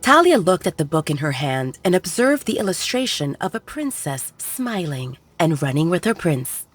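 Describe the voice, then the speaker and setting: A woman reads fiction as an audiobook narrator. Her voice sits a little low and moves with very lively intonation. Her storytelling is measured and expressive, over soft background music. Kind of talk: scripted dramatic fiction narration.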